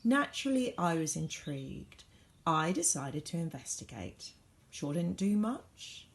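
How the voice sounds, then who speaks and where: A woman reads a children's story with a very lively, swooping pitch and a creaky edge. She leans into key words and leaves deliberate pauses, in the expressive manner of a teacher at storytime. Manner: dramatic, varied emphasis with deliberate pauses. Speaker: teacher reading a storybook aloud.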